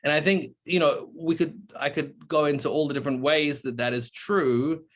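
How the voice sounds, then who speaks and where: A young man, a PhD candidate, giving a halting presentation on a video call with many fillers. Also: on the high side, fairly even intonation, fairly bright tone. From born in South Africa, raised in New Zealand. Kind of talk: online meeting presentation.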